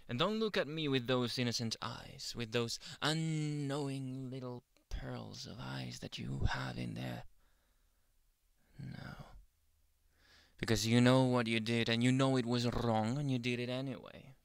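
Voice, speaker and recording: A man playing a grumpy, possessive yandere boyfriend in an ASMR roleplay, brooding and theatrical, often dropping into breathy whispers close to the mic. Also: medium pitch, unhurried, pauses often, dry recording. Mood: accusing, angry. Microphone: close ASMR microphone.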